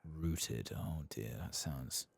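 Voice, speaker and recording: A man giving soft-spoken ASMR game commentary in a gentle, breathy murmur that often drops toward a whisper, close to the microphone. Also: extremely low pitch, extremely slow, no echo. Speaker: game streamer.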